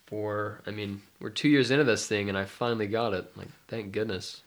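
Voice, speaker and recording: Young man, congested nasal voice, lowish pitch, casual podcast chat. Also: steady tone, brisk. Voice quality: nasal, stuffy. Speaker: podcast co-host, mindfulness life coach.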